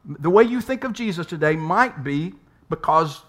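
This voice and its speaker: A man from the United States preaches in a conversational, unhurried sermon voice. His pitch sits a little on the high side for a man, and he leans on rhetorical questions and restarts words as he builds his point. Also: lively intonation, a bit bright. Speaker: pastor.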